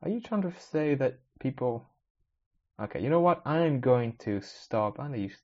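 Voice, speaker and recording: A man's voice: mid-range voice, lively intonation, moderate pace, a little mellow. A man whose first language is Spanish, hosting a casual solo podcast, thinking aloud in a rambling, wry way that often breaks off mid-sentence. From Spanish-speaking country, moved to the UK at age ten. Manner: rambling, self-interrupting, wry. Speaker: podcast host. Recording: slightly dull, lo-fi recording.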